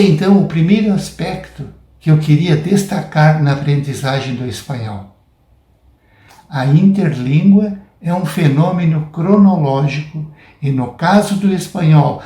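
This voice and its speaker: Slow-paced Brazilian man lecturing in an echoey room. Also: fairly high pitch, warm tone. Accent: Brazilian Portuguese. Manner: deliberate, measured, didactic. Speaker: academic lecturer. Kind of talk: prepared academic lecture. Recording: roomy, echoing sound.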